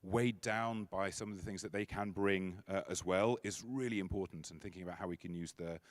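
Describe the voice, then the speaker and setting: Male MC, lower voice, dry close stage mic, loose joking intro with plenty of ums. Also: fairly even intonation, fairly quick, dry recording. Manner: chatty and self-deprecating, with many fillers and restarts. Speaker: conference MC. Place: conference stage.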